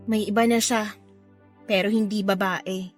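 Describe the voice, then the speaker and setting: A woman's voice: mid-range voice, fairly even intonation, a little slow, soft background music, close to an everyday voice. Woman acting guarded, heartbroken younger sister. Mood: hurt, bitter. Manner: reluctant, wry, sighing. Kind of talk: Tagalog radio drama acting.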